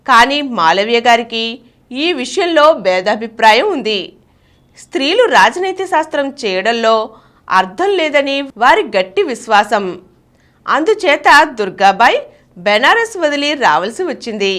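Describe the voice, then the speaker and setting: A woman's voice: on the high side, a bit bright. A woman reading a scripted narration in a storytelling style.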